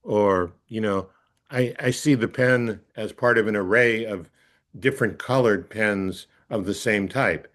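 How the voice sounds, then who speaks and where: A man with a fairly low voice talks as an interviewed coach. He explains ideas conversationally and thoughtfully, often halting and repeating a phrase as he works toward the point. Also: a bit bright.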